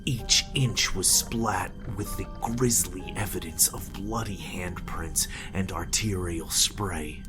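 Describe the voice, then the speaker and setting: A man with a very low voice narrates a horror tale slowly. His delivery is hushed, breathy and ominous, like a dramatic storyteller. Music plays clearly behind him.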